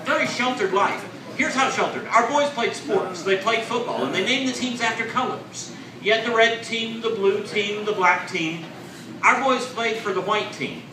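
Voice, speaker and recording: A man in his forties delivers a stand-up set in a fairly high voice, landing deadpan, self-mocking punchlines. He sounds a little off the mic, in an echoey club with a crowd laughing. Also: normal speed, recorded from a distance. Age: 40s. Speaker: stand-up comedian. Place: comedy club stage with live audience.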